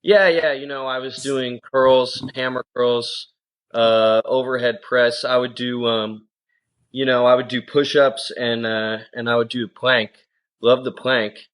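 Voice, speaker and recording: A man's voice: medium pitch, fairly even intonation, a little slow, fairly bright tone, poor audio. A man from the United States, a coxswain and coach, answering interview questions in a thoughtful, casual way with lots of "you know" and "um". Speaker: rowing guest, coxswain and coach.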